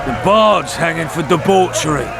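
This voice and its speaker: Officious game executioner: high-pitched, animated, gravel-edged, blunt threats, music underneath. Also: male voice, normal speed, a loud crowd. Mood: gruff, officious. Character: bullying, officious town executioner enforcing the law. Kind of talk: video game cutscene acting.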